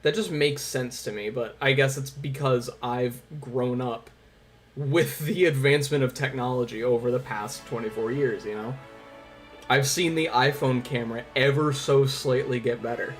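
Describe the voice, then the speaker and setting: A man's voice: mid-range voice, moderate pace. A man in his twenties chatting casually on a livestream, informal and rambling, with some swearing. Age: 20s. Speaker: livestream host. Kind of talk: casual livestream chat with viewers. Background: music.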